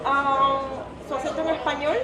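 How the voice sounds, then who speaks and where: A woman's voice: sits high, flat delivery, fairly quick. A woman working as a restaurant server, speaking politely with diners in Spanish and English. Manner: friendly, polite, switching between Spanish and English. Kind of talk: casual talk with diners. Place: busy restaurant. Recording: echoey room with crowd chatter and music.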